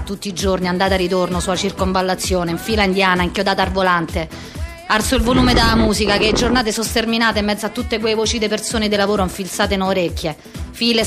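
A woman's voice: medium pitch, close to an everyday voice. A woman performing a theatrical slang monologue as a harried, foul-mouthed office worker around fifty, with a Roman accent and a coarse, rhythmic rant. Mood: weary, irritated.

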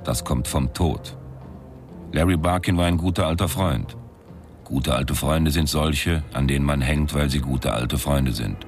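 A cynical country singer turned sleuth, narrating a radio crime story in an extremely low voice. He is deadpan and sardonic, with faint music behind him. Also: unhurried. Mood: deadpan, wry.

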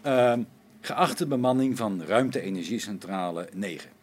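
A man's voice: lowish voice, expressive, unhurried, a little hiss or hum. A man playing a grumbling, sarcastic space-station technician in a radio play, complaining with exasperation. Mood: impatient. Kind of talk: Dutch radio play acting.

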